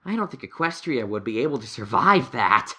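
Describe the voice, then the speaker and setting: A man's voice: medium pitch, big pitch swings, a little fast, pushed and bright, breathy edge, exaggerated voice. A man voicing a studious, earnest young male unicorn pony in a fan-fiction audio story, speaking in an emphatic, analytical way. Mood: wary, thoughtful.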